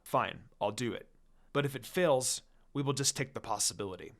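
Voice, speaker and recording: A man reads as a loyal summoned male spirit in a fan-made story. He sounds reluctant and resigned, noticeably lower than the narration. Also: lively intonation, moderate pace, vocal fry, fairly bright tone.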